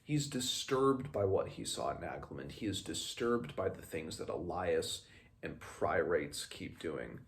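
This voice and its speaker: Low, creaky young man. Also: expressive, a little slow. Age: 20s. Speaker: book reviewer hosting a read-along. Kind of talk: casual book commentary with passages read aloud. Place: small room at home, indoors.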